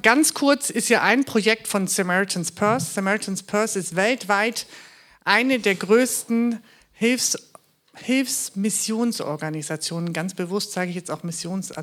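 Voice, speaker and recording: A woman's voice: mid-range voice, fairly bright tone. Chatty woman giving a talk. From Bavaria, raised in Württemberg. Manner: chatty, joking, with fillers and restarts. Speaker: charity regional manager giving a talk. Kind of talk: casual presentation talk.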